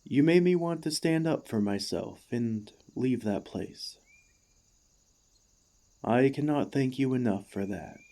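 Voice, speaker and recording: A man playing an emotional, excitable warforged ex-gladiator in an ASMR roleplay, gentle and devoted, with earnest, formal, halting speech. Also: mid-range voice. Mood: earnest, admiring.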